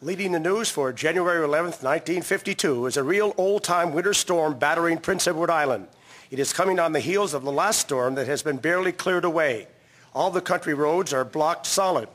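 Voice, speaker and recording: A 1950s radio newsreader, played by a man in a drama. His voice sits slightly high with crisp, formal announcer pacing. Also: a little fast, a bit bright. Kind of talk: old-time radio news reading.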